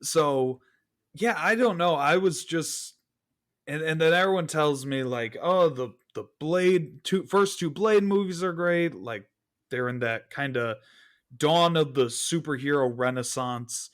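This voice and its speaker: This is a man with a slightly high voice. He talks casually as a guest on a review podcast, candidly working through his opinions and tossing in rhetorical asides. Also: measured pace, a bit bright. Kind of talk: casual film review chat.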